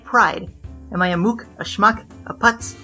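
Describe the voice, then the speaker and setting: An actress playing a boastful, flamboyant female bandit king in an audio drama, with a theatrical, grandiose, quick-witted delivery. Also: medium pitch, bright, forceful tone, faint music behind.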